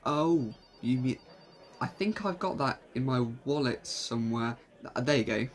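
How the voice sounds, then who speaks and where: A man's voice: medium pitch, animated, a little slow, natural voice. Man acting a rambling, breezy eccentric adventurer. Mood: eager, bluffing. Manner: rambling, hesitant, cheerfully evasive. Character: quirky, breezy eccentric male alien adventurer applying for work. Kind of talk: fan audio drama acting.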